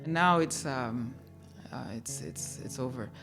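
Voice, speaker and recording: A woman in her fifties tells her own story in a low, unhurried voice. She sounds reflective and candid as she talks, with soft music barely there underneath. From born in New York, raised in Haiti. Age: 50s. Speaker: guest telling her migration story. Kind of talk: personal storytelling.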